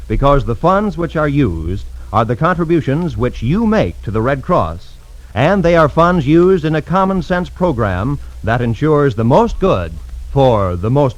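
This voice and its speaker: A man's voice: medium pitch, no echo, low-quality recording. A man with an American accent reading scripted 1950s radio announcements in a polished, emphatic, formal way. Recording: old radio broadcast, music behind.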